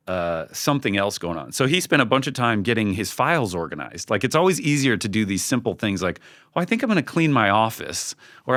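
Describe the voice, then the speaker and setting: Low-voiced man in casual, candid conversation. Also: fairly bright tone, no echo, studio quality. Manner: informal, candid, often acts out quoted remarks. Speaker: podcast guest, creative team leader. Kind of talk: casual conversational interview talk.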